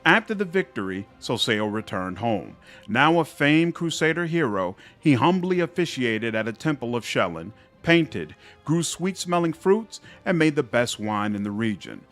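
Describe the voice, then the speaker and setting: A man narrating fantasy game epilogues in a measured, expressive storybook style. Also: mid-range voice. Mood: solemn storytelling. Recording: music under the voice.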